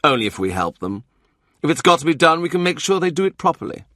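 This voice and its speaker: A man's voice: on the high side, sing-song, fast, pushed and bright, dry recording, clean studio sound, pitched above the performer's own voice. A man voicing a shrewd, blunt adviser of humble birth who speaks curtly. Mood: practical. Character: shrewd, blunt male adviser, low-born.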